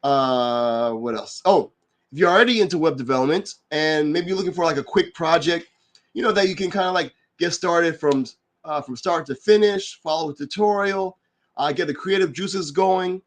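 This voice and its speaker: A man who is getting over a cold and sounds a little nasal, hosting a live online work session and explaining its features in a relaxed, rambling way full of fillers. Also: fairly high pitch, normal speed. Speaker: livestream host and developer advocate. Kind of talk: casual explanatory livestream talk.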